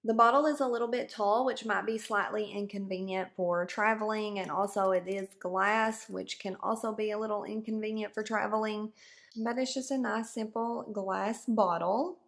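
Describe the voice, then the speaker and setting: A woman with a hoarse voice from a cold, chatting her way through a beauty product review as a vlogger. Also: mid-range voice, steady tone, normal speed, a bit bright. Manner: chatty, explains each step as she goes. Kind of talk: casual product review to camera.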